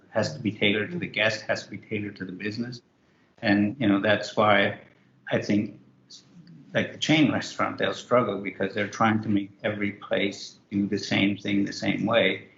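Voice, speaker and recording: A man's voice: lowish voice, flat delivery, normal speed, creaky, fairly bright tone, a little hiss or hum. A man, co-author of a book, talking loosely with many restarts in a virtual author interview. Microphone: video call. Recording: roomy, muffled video call.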